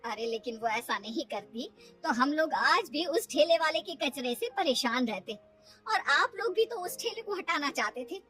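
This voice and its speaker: Outspoken cartoon woman female performer: high, animated, emphatic, slightly distant sound. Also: moderate pace, soft background music. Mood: indignant. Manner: emphatic, opinionated. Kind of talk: animated cartoon story acting.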